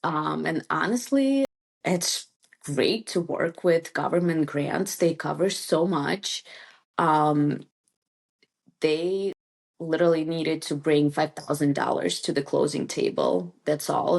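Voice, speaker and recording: Slow, low-voiced woman, native Russian speaker. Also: creaky, a little mellow. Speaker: real estate agent, interview guest. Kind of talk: casual interview chat.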